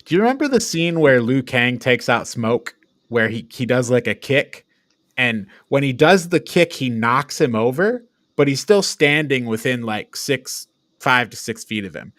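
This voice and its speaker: A man's voice: mid-range voice, fairly bright tone, dry recording, clean studio sound. Exasperated man chatting about films. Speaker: podcast co-host reviewing films. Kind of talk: casual chat, film review.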